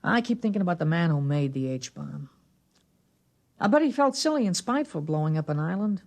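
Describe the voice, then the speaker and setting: A man's voice: on the high side, expressive, a little fast, creaky. An actor playing an earnest, disapproving small-town boy who narrates in a 1950s radio drama. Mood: pensive. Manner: earnest, plain-spoken. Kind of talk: 1950s radio acting, first-person narration and dialogue. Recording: restored vintage radio recording.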